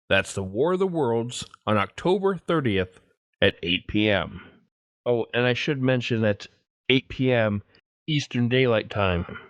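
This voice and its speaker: Lively man hosting a podcast, dry sound. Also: mid-range voice, dry recording. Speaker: podcast host. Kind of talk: scripted podcast commentary and announcements. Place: dry close recording.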